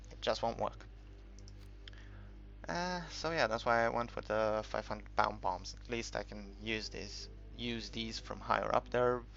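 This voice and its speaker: A man giving casual, unscripted game commentary in a halting, rambling way, as if thinking aloud while he explains things. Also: fairly low pitch, gravelly, a bit bright. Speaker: gaming video creator narrating a tutorial. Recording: dull lo-fi home recording, music behind.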